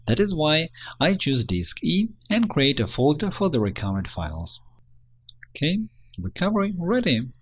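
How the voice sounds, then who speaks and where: Husky man narrating a tutorial. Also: a little high, very animated, moderate pace. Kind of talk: scripted instructional narration.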